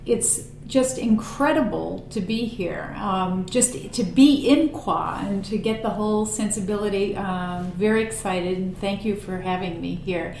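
A woman hosting a cooking interview show, enthusiastic and a little halting with fillers as she asks questions. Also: mid-range voice, vocal fry, darkish tone, roomy sound, a little hiss or hum, poor audio. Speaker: host. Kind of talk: interview host.

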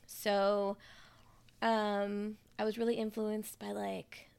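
Podcast co-host, woman, croaky and hoarse from a cold, slow and jokey. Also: mid-range voice, flat delivery, a little mellow. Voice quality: raspy. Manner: laughs often, joking. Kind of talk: casual chat.

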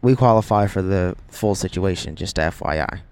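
A young man with heavy vocal fry and a slightly low voice banters as a podcast co-host. He interjects with questions and jokes in loose, slangy everyday speech. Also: normal speed, darkish tone.

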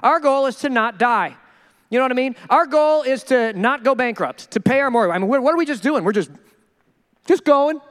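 A male pastor preaching a sermon in an animated, joking way, acting out the people he quotes. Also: very high-pitched, fairly quick, in a large hall. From Minnesota.

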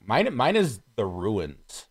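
Low-pitched, animated man swearing freely. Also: takes it slowly, over a noisy crowd. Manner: profane, blunt; animated, emphatic. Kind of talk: casual podcast chat.

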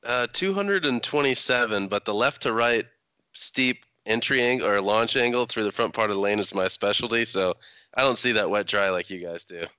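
Low, bright, clear man chatting casually. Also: normal speed, no echo. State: under the weather with a cold. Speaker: podcast co-host. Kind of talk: casual sports chat.

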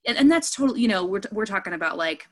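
A woman's voice: mid-range voice, expressive, racing. A woman in her thirties hosting a casual interview, chatty and enthusiastic, piling up examples as she talks. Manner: chatty and enthusiastic, trails off into lists. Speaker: interviewer, fellow actor.

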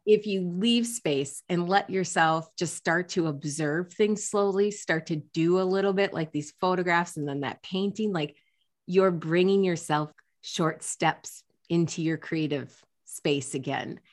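Podcast host woman, low-pitched, thoughtful and encouraging, says like a lot. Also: a little slow. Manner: reflective, encouraging, many "like" fillers. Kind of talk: casual interview chat.

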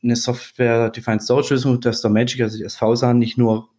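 A man from Swabia, a podcast guest, talking in a relaxed, joking way and explaining his work fluently. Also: medium pitch, fast. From Swabia, Germany. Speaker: podcast guest, regional business manager. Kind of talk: casual podcast interview.

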